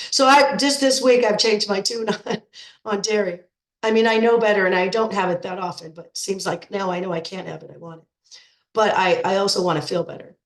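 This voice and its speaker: A woman's voice: medium pitch, steady tone, fairly quick, reverberant, rough recording. A middle-aged woman nutrition coach with a head cold, talking informally to her program members and often repeating words as she restarts. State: stuffed up with a cold.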